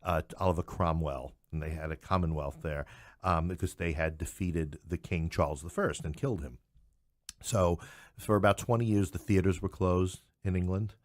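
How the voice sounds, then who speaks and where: A middle-aged man with a deep voice in a dry, close recording. He speaks conversationally as an interview guest, spinning anecdotes with animation and often restarting his phrases. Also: steady tone, normal speed, no room sound. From New York City. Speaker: podcast guest, English professor.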